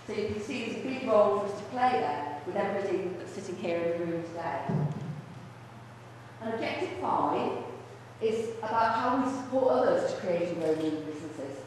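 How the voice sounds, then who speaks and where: Brisk woman speaking in an echoey hall. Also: mid-range voice. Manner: brisk, businesslike, speaks without a microphone. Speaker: college vice principal, speaker. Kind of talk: presentation to a luncheon audience. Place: large echoey function room. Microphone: far room microphone. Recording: echoey hall from far off, faint noise.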